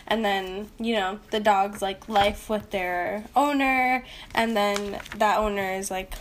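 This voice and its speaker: A woman co-hosting a casual podcast chat, speaking haltingly with plenty of "like" in her sentences. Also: mid-range voice, fairly even intonation, a little hiss or hum. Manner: halting, heavy use of "like". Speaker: podcast co-host.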